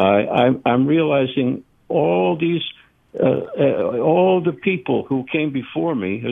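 A man of eighty calling in to a radio show, speaking in a halting, reflective way with many fillers. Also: mid-range voice, vocal fry, husky. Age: 80s. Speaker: caller. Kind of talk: call-in radio chat. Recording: phone line.

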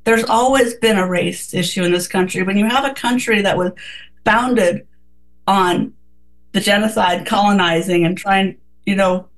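A woman's voice: medium pitch, normal speed, rough recording. A woman co-hosting a casual radio talk, speaking earnestly and reflectively with many restarts.

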